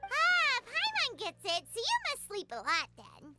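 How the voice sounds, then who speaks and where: Casting: tiny cheeky game fairy, extremely high squeaky voice, perky, wildly swooping delivery. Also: female voice, measured pace, over loud music, exaggerated voice. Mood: curious. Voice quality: squeaky, childlike. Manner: perky, excitable. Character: tiny, chirpy, cheeky female fairy companion. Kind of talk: English video game voice acting.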